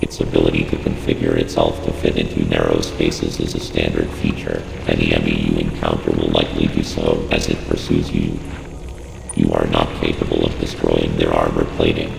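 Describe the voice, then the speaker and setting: Extremely deep, gravelly robotic AI. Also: male voice, heavily acted. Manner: measured, impassive, formal, authoritative. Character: cold, clinical male computer AI advisor briefing a soldier. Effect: synthetic robotic processing. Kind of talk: scripted game briefing. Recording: echoey long reverb, clear background noise.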